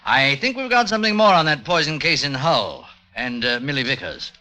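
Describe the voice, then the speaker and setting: An actor playing a brisk, businesslike police inspector with a clipped official manner in an old-time radio drama. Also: male voice, mid-range voice, sing-song, bright, forceful tone. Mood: businesslike, dutiful.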